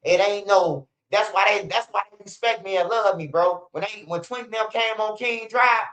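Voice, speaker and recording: A man's voice: sits high, normal speed, noisy background. A man, hosting a livestream and telling street stories in a blunt, profane, casual way, dropping "bro" and "man" often. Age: 40s. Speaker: host of a street news channel.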